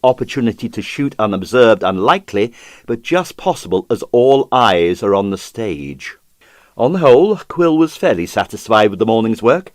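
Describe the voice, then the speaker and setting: A man reads a detective story aloud in a theatrical, measured way. His pitch moves widely from phrase to phrase, often clipped, and the sound is tight and dry with no room around it. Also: big pitch swings, no room sound. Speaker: audiobook narrator. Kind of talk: dramatized reading of a comic detective novel.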